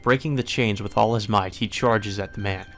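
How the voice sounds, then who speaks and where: A man reading a visual novel aloud as dramatic, solemn and measured narration. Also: lowish voice, fairly bright tone. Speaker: narrator, let's play reader. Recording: music behind the voice.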